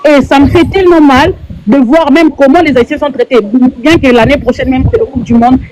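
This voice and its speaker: A woman's voice: high voice, brisk, darkish tone, slight background noise, low-quality recording. A woman from Congo asks questions in a live online conversation. She speaks in earnest and repeats words for emphasis. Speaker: interviewer.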